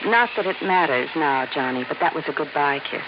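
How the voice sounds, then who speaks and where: A woman's voice: low-pitched, animated, a little fast. Troubled young American woman, noisy old radio. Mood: confessing, anxious. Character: earnest, troubled young woman confessing her past. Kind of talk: 1950s radio drama acting. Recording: dull, hissy old radio recording.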